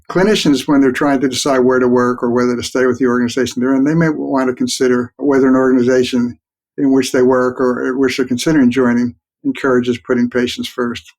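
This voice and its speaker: A mature man giving reflective, explanatory answers as an expert guest in an interview, speaking in a measured, plain-spoken way. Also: medium pitch, a little mellow. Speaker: guest expert, physician researcher.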